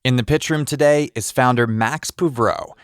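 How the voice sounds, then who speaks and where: A man hosting and narrating a podcast, delivering scripted narration in a lively, conversational storytelling way. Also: medium pitch, normal speed, clean tone, no echo.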